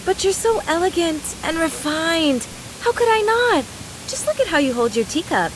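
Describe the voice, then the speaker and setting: A woman's voice: very high voice, sing-song, moderate pace, a little hiss or hum, rough recording. A woman voice-acting a brash, mischievous young woman fighter from a video game, teasing in a playful, folksy, mock-serious way.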